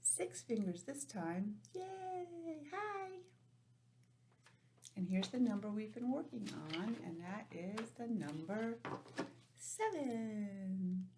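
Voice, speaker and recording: A woman teacher giving an informal, encouraging lesson to young children, sounding out letters and counting deliberately. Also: medium pitch, lively intonation, dark tone, slight background noise. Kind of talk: children's lesson, read-aloud introduction.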